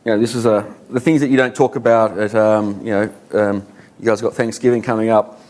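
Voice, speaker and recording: A man's voice: on the low side, fairly even intonation, brisk, darkish tone, rough recording. A man with an Australian accent giving a conference talk to an audience, conversational, with many fillers and rhetorical questions. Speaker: conference speaker.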